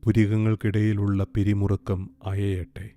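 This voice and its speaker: A man guiding a meditation in a calm, gentle, soothing way, leaving long pauses. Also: lowish voice. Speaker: meditation guide. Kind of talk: guided meditation, slow soothing instruction. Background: soft meditation music with singing bowl.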